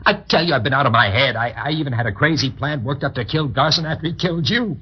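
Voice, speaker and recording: A man's voice: medium pitch, fast talker, breathy edge, close to an everyday voice. A man playing a guilt-ridden, scheming husband who pleads urgently and frantically in an old-time radio melodrama. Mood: urgent, pleading. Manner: urgent, pleading, stammering under pressure. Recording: dull lo-fi old radio recording.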